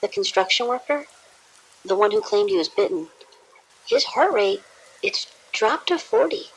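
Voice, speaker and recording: An actress playing a dutiful, uneasy nurse in an audio drama, reporting politely and hesitantly. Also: female voice, a little high, big pitch swings, moderate pace, breathy edge, exaggerated voice, rough recording.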